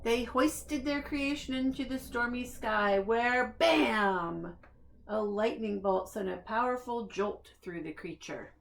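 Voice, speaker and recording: Female storybook reader for young children, fairly high-pitched, unhurried, expressive and deliberate. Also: creaky, a little hiss or hum, rough recording. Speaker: preschool staff reader. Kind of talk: read-aloud of a children's picture book.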